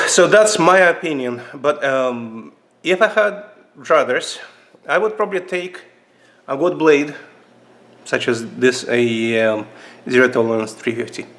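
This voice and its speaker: Man, Russian accent, medium pitch, halting casual review, frequent fillers. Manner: halting, many fillers and restarts, lively pitch jumps. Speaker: channel host reviewing knives. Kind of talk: casual knife review vlog. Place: indoors at a table.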